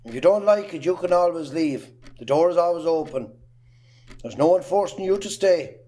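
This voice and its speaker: Man acting a high-pitched, scornful Irish farmer father. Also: animated, moderate pace, warm tone. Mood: sneering. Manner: gruff, belittling, blunt. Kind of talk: amateur stage drama acting.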